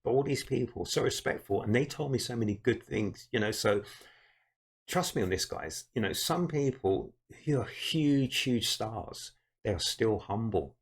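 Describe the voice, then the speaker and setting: A man's voice: medium pitch, animated, normal speed, slightly rough. A man from the UK hosting a reaction video, chatting casually to his viewers. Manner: chatty, addresses viewers as guys and people.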